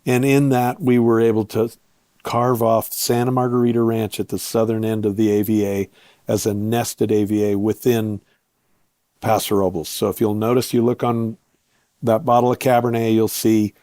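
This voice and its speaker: A man being interviewed as a guest, explaining his work step by step in short, measured phrases. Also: on the low side, level tone, warm tone, dry recording, clean studio sound. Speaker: interview guest, winery owner and viticulturist.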